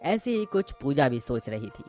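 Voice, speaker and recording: Man, mid-pitched voice, scripted storytelling narration, cartoon moral tale, soft music bed. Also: very animated, moderate pace.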